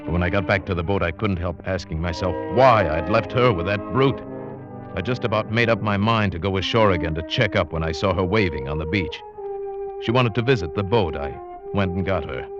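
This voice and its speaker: A man's voice: low-pitched, fairly quick, close to an everyday voice. A man acting as a lonely, haunted sailor in old radio drama, brooding and earnest as he narrates and speaks. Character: lonely, haunted, lovestruck male sailor narrating his past. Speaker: narrator and lead actor. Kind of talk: 1940s-50s radio drama acting and first-person narration. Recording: dull vintage radio recording with music behind.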